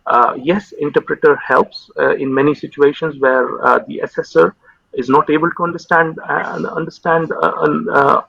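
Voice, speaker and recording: Gravelly halting man on a video call. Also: a little high, fairly even intonation, pushed and bright, dry recording. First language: Urdu. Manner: halting, many fillers and repeated words. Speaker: consultant psychiatrist guest. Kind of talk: interview over video call. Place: home room with window. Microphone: video call webcam. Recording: dull lo-fi video call audio.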